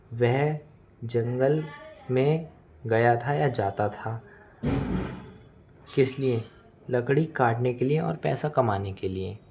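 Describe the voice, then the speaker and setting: A man with an Indian accent, a native Hindi speaker, teaching English phrase by phrase in a deliberate, repetitive, explanatory manner. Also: medium pitch, mellow, a little hiss or hum. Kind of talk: bilingual language lesson, phrase by phrase.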